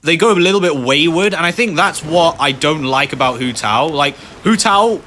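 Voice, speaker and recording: A man's voice: fairly high pitch, normal speed, sharp tone, slight background noise. A man giving informal, rambling commentary as the host of a game playthrough. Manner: informal, rambling, often asks "you know". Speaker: gaming video host. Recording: game music underneath.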